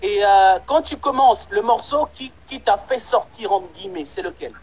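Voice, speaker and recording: An interview host with a mid-pitched voice. The host keeps the chat casual, probing the guest and recapping each answer before moving on. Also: animated, moderate pace, darkish tone.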